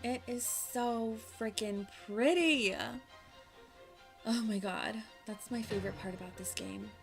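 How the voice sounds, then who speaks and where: Gushing woman streamer over clear background music. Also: mid-range voice, slow pace. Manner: gushing, playful, giggly, informal. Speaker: video game streamer. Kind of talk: casual live gameplay commentary.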